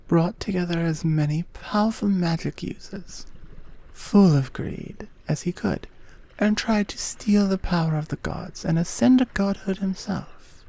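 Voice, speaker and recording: Woman doing an amazon queen for asmr roleplay, regal n patient, slow and mellow, kinda breathy half whispers. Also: mid-range voice. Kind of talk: intimate ASMR roleplay monologue.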